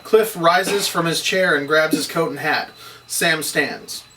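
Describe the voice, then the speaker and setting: A man's voice: on the high side, slow pace, pushed and bright. A man reading screenplay stage directions at a table read in a clipped, matter-of-fact way. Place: indoor table read, recorded on camera. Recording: distant mic, faint room noise.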